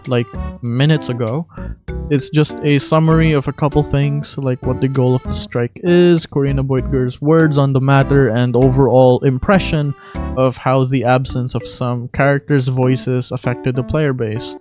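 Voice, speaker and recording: Casting: young adult online host, medium pitch, casual, self-deprecating, music underneath. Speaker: video host and amateur voice impressionist. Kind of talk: casual spoken announcement to viewers.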